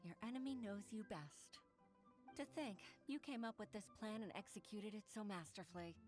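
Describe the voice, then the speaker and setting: An actress voicing an earnest young female clerk in a video game, soft-spoken and given to sighs. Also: mid-range voice, normal speed, close to an everyday voice. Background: faint game music.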